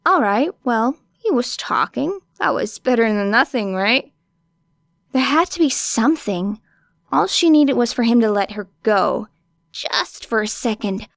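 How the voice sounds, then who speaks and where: A woman's voice: fairly high pitch, animated, unhurried, creaky, no echo, clean studio sound. A woman reading a story expressively as an audiobook narrator. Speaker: audiobook reader. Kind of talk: expressive scripted fiction narration.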